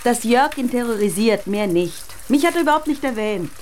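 An actress playing a determined, scheming wife in a radio crime drama. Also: female voice, a little high, expressive, fairly quick, a little mellow, slight background noise, natural voice.

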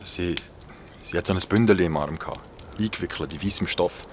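A man's voice: deep voice, fairly quick, slight background noise, natural voice. An actor with a Swiss German accent playing a shaken, foul-mouthed young man recounting his ordeal in an audio drama. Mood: shaken, haunted. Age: young adult. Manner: colloquial, swearing, dramatic. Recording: music behind the voice.

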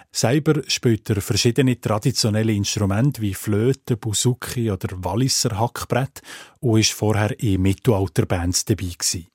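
Husky low-voiced Swiss German male reporter. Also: a little mellow, no room sound, studio quality. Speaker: radio reporter. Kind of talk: scripted radio report narration.

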